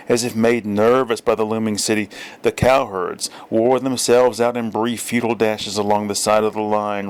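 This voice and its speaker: A man reading a literary story aloud as narrator, with measured, deliberate phrasing. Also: on the low side, clean tone. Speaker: audiobook reader.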